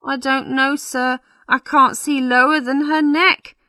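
Woman as a worried parish clerk, raised pitch. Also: steady tone, measured pace, pushed and bright, dry recording, studio quality. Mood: worried, deferential. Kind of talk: storybook character voice.

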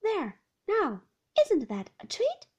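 A woman voicing a bright, cheerful middle-aged nurse who talks down to her elderly patient. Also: extremely high pitch, huge pitch swings, takes it slowly, pitched above the performer's own voice, full character voice. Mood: chirpy. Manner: cheerful, coaxing, talking down to a patient.

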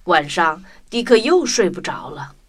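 A woman's voice: lowish voice, very animated, a little slow, slightly rough, faint music behind. A woman narrating a scripted children's story, expressively addressing young listeners.

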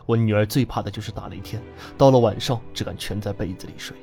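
A brooding middle-aged peasant father, acted out by a male narrator, grieving and dangerous. His low voice is terse and insistent, set over music in a suspense audiobook. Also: quick pace, gravelly, dark tone. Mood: sorrowful.

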